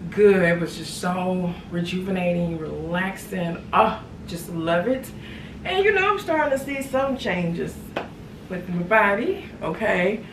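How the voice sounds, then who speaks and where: A middle-aged woman chatting casually as a lifestyle vlogger, recorded in a home kitchen on a camera microphone. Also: on the low side. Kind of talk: casual vlog chat. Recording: steady ambient noise behind voice.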